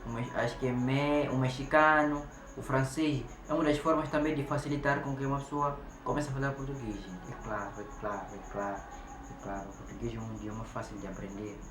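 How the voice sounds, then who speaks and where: A man from Mozambique hosts a reaction video with emphatic, repetitive commentary. His voice sounds in an echoey room with steady noise behind it. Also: medium pitch, brisk. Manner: repetitive, emphatic, keeps saying gente.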